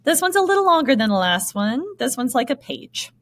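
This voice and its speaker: A woman's voice: sits high, big pitch swings, a little fast, a bit bright. A woman co-hosting a podcast in casual comedic banter and story reading, irreverent and swearing freely. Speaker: podcast co-host.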